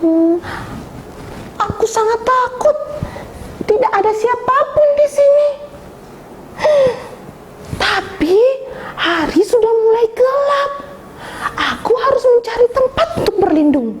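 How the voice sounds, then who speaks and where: A woman's voice: extremely high pitch, lively intonation, takes it slowly, long pauses, slight background noise, voice pushed up, exaggerated voice. A woman voicing a small, young grasshopper that is adventurous and easily frightened, speaking in a fretful, squealing way. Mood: scared.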